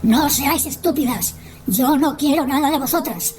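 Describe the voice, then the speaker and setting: A woman's voice: high-pitched, moderate pace, darkish tone, pitched above the performer's own voice, lightly acted. A woman voicing a menacing, imperious ghostly female reaper in a radio drama, threatening and commanding. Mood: scornful, stern. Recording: music behind the voice.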